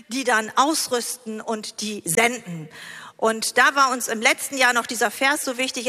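Female conference preacher, forceful bright tone, enthusiastic delivery, long hall reverb. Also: a little high. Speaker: conference speaker. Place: large congress hall, live on stage. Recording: hall reverb from stage sound system.

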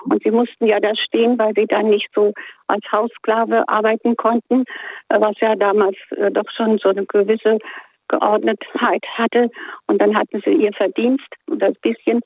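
A woman's voice: mid-range voice, flat delivery, normal speed, breathy edge, dry recording. A woman calling into a radio show, talking in a hesitant, devout, rambling way. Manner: hesitant, searching for words, devout. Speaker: radio caller. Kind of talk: phone-in question, rambling. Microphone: telephone. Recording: tinny phone line.

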